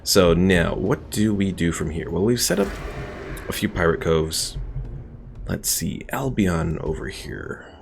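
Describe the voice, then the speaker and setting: Gaming streamer, male, deep and gravelly, casual let's-play narration with a relaxed chat to viewers. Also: measured pace, slight background noise. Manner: relaxed, thinking aloud, chatting to viewers. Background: game music.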